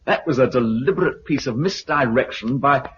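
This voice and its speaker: An actor playing an affable, courteous British helicopter pilot bringing news, in a 1950s radio drama style. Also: male voice, medium pitch, normal speed, fairly bright tone, natural voice. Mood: amused, informative. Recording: muffled, noisy 1950s radio recording.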